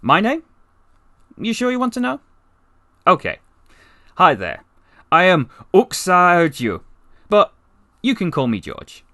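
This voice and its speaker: A man's voice: sits high, wildly swooping pitch, slow, pauses often, bright, forceful tone, no room sound, clean studio sound, heavily acted. A man voicing a chatty, wry, besotted half-alien narrator who tells his comic story conversationally, with rambling asides. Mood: wry, teasing. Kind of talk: first-person comic story narration, voice acting practice.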